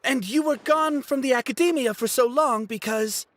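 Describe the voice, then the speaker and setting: A woman's voice: high voice, moderate pace, a little hiss or hum, lightly acted. A woman voicing a proud, touchy young male student who stammers when flustered. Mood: pondering.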